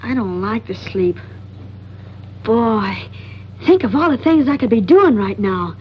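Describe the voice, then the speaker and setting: A woman's voice: on the high side, lively intonation, a little slow, a little hiss or hum. An actress playing a chatty, restless young boy in a 1940s radio thriller, with an eager, gossipy manner. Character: chatty, restless young boy who dodges his strict mother. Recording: muffled 1940s radio recording with music.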